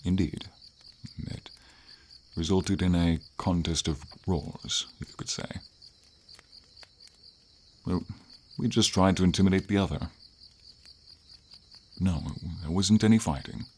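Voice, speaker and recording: A deep-voiced, friendly silver dragon, played by a man, speaks as a gentle companion in a fantasy ASMR roleplay. The delivery is unhurried, thoughtful and a little formal, with pauses. Faint nature ambience of crickets and light rain sits behind the low voice. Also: slight background noise. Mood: calm, matter-of-fact. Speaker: voice actor. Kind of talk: ASMR fantasy roleplay, slow intimate storytelling.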